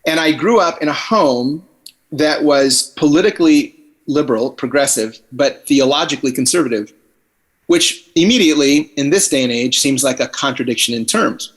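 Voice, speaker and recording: A man's voice: fairly high pitch, normal speed. A man raised in the Philippines, a podcast guest talking thoughtfully and at length over a video call, often restarting his sentences. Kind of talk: casual conversation on a video call. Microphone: computer on a video call.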